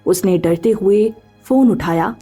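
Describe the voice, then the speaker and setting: A woman's voice: mid-range voice, a little slow, dark tone, husky, soft background music. A woman narrating a scripted story as the narrator.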